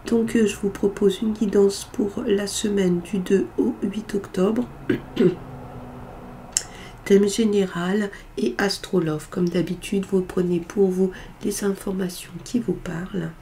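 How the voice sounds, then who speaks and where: A woman's voice: mid-range voice, fairly even intonation, slow, mellow, a little hiss or hum, rough recording. A woman with a cold reading tarot cards in a casual, hesitant way, with lots of filler sounds. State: has a cold, congested voice. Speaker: tarot card reader.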